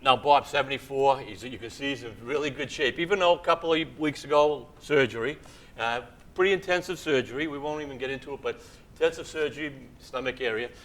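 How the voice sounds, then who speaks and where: A man's voice: medium pitch, brisk, fairly bright tone. A man in his sixties hosting a casual interview, chatty and encouraging, rambling between thoughts. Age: 60s. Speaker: show host.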